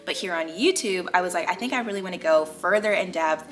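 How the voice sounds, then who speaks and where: A woman's voice: on the low side, quick pace, soft background music. A young American woman hosting a casual hair care tutorial, chatty and emphatic, addressing viewers like girlfriends. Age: young adult. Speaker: video host, natural hair care creator. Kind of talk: casual vlog tutorial talk.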